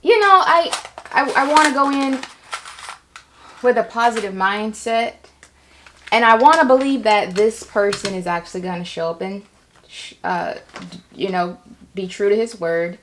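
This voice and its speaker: A woman vlogger speaking candidly and informally in a halting way, as a writer talking about her own creative work. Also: mid-range voice, fairly bright tone, a little hiss or hum. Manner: halting, informal, acts out quoted remarks. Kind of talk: candid personal vlog. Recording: echoey room sound.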